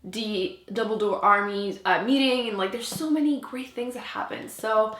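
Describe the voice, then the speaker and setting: A woman's voice: fairly high pitch, moderate pace, fairly bright tone, echoey room. A French Canadian woman, a native French speaker, chatting casually and emphatically to camera as a book reviewer.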